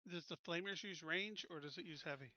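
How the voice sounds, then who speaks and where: Male tabletop player, slightly high bright voice, casual and questioning, close dry sound with dulled edges. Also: a little fast, no room sound, muffled recording. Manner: questioning, checking the rules. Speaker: player in a tabletop roleplaying game. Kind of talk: casual game-table discussion.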